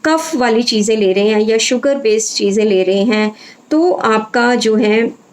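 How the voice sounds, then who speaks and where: A woman's voice: on the high side, a little slow. A woman speaking as an Ayurvedic doctor, giving an informal health talk with frequent hesitations and phrases mixed in.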